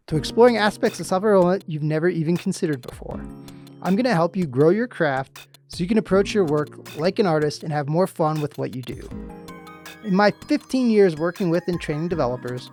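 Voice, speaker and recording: A man hosting a podcast. He reads a scripted show opening, then chats casually with his guest and often trails off softly. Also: fairly high pitch. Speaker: podcast host.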